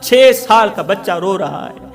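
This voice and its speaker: A man's voice: sits high, animated, measured pace. A man preaching through emotional storytelling, dramatic, repeating phrases for emphasis.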